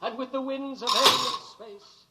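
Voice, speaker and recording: High-voiced, dreamy poet spaceman. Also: fairly even intonation, moderate pace. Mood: dreamy, reciting. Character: dreamy, earnest spaceman poet, stubbornly devoted. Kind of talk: 1950s radio drama acting. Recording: noisy old radio recording.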